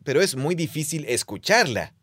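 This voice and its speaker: A man dubbing a podcast co-host, explaining things with quick affirmations and light laughs, recorded in a studio. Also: a little high, big pitch swings, fairly bright tone, breathy edge, dry recording, full character voice. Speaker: podcast co-host, Spanish dub. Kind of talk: dubbed conversational podcast.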